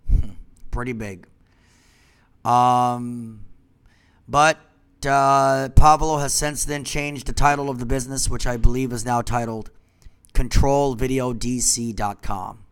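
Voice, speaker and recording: A congested man who founded a company, talking in a rambling monologue that trails off into quiet asides. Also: mid-range voice, fairly even intonation, slow, long pauses, smooth. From Washington, D.C. area.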